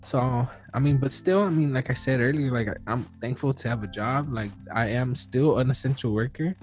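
A man's voice: mid-range voice, fairly quick, a little mellow, faint music behind. A man in his early thirties hosting a podcast, talking casually and rambling with lots of fillers and restarts. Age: 30s. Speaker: podcast host. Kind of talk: casual chat.

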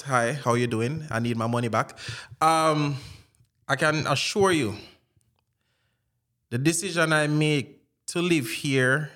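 A man with a Jamaican accent in casual chat, telling anecdotes in an animated way with patois phrasing. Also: mid-range voice.

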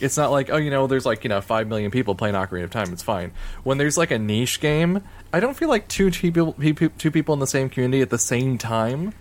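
A man from Missouri co-hosting a podcast in casual chat, talking in a fairly flat, monotone way with lots of "like". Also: medium pitch, slight background noise. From Missouri, USA. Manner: monotone, heavy use of "like". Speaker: podcast co-host.

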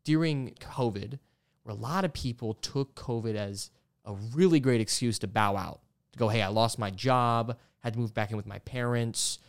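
A man's voice: mid-range voice, moderate pace. Stammering, enthusiastic man hosting a podcast. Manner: stammers, repeating sounds and syllables. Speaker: podcast host interviewing a guest. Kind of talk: casual conversational interview.

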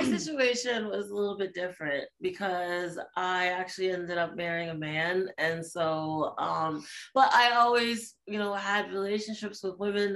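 Relaxed woman guest telling stories. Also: mid-range voice. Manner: relaxed storyteller with many fillers. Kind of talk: casual interview chat.

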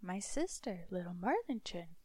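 A woman voicing a proud magical male songbird in a storybook reading, high and insistent. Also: big pitch swings, normal speed, darkish tone, breathy edge, no room sound. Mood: sing-song.

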